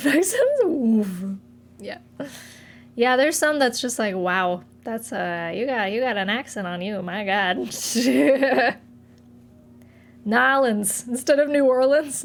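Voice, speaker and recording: A young woman from Massachusetts, chatting casually with her live chat from her apartment, giggling and trailing off mid-sentence. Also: fairly high pitch. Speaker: streamer.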